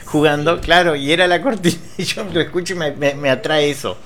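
A man's voice: a little high, steady tone, brisk, fairly bright tone. A man with an Argentine accent chatting casually as a radio co-host, in a joking, teasing manner. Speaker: radio co-host reading listeners' messages. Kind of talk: casual chat.

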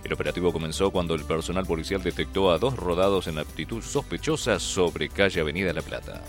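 A man's voice: low-pitched, quick pace, faint music behind. A man reading a formal radio news bulletin. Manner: formal, steady read. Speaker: radio newsreader.

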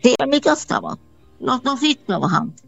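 A woman from Bolivia taking part in a call-in conversation, talking in halting, repetitive phrases with affectionate warmth. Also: sits high, very animated, vocal fry, husky, highs cut off, soft background music. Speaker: caller. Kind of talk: phone-in conversation.

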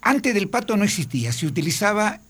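A man with an Argentine Spanish accent, a guest explaining logos and history in a measured way. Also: high voice, sing-song, fairly bright tone, breathy edge. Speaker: tourism guest. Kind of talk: casual radio chat.

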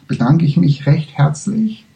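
A man whose first language is English, closing a spiritual lecture in halting German with many restarts. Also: fairly high pitch, lively intonation, vocal fry, dark tone, poor audio.

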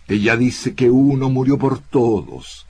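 A man with a husky voice recites poetry in a grave, incantatory manner with deliberate pauses. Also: mid-range voice, expressive, dark tone, no echo. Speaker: poetry reader.

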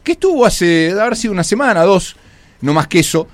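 Animated Argentine male host, higher voice, dry studio sound. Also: fairly quick, no echo. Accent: Argentine Spanish. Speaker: radio host. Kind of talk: radio interview.

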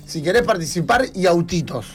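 High-voiced Argentine man hosting live radio. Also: lively intonation, brisk. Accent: Argentine Rioplatense. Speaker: radio host. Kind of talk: casual live radio chat. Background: music.

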